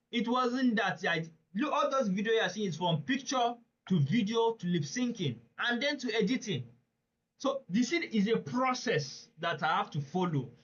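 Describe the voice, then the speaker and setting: Brief: male webinar presenter, slightly high voice, earnest and emphatic, dull online-call audio. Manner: earnest, emphatic, addresses listeners directly with questions. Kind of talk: live webinar teaching.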